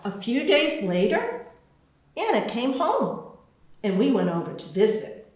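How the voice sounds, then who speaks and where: Slow, expressive woman storyteller in echoey room. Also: on the high side, a little hiss or hum. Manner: expressive, deliberate storytelling with spaced phrases. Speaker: author reading her own book. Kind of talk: children's picture book read-aloud.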